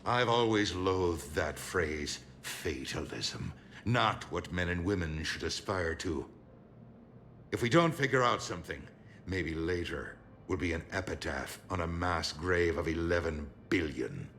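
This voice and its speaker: A very deep, forceful voice for a proud older statesman in a game. He speaks formally and with measured rhetoric, stern and resolute, over a faint background noise. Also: expressive, exaggerated voice. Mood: grave, bitter. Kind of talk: video game dialogue.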